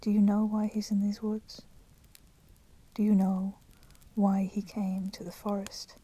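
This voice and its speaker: A woman playing a polite but menacing vampire in a whispered ASMR roleplay, speaking softly and formally. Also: medium pitch, level tone. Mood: earnest, reproachful. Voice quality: breathy, soft, often whispering. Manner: slow, deliberate, formally courteous. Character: polite, menacing female vampire, proud and merciful.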